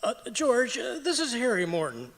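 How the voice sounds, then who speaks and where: Officious neighbor, male actor: high-pitched, old-time radio comedy delivery, long hall reverb. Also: huge pitch swings, normal speed, creaky, a bit bright, heavily acted. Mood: urgent. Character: officious neighbor man offering tax help. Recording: echoey auditorium stage recording.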